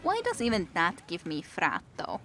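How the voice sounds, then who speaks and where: A woman's voice: lowish voice, wildly swooping pitch, moderate pace, fairly bright tone. A woman with a Finnish accent giving casual, rambling commentary as she plays a video game, wry and chatting to her viewers. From Finland. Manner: rambling, wry, talks to herself and her chat. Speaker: streamer playing a video game. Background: game music.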